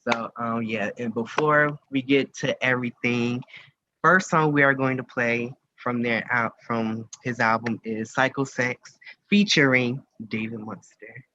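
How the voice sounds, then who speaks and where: A man's voice: medium pitch, steady tone, a little slow, sharp tone. A man hosting a radio show, chatting casually in an informal, rambling interview style. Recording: muffled lo-fi audio with noticeable hiss.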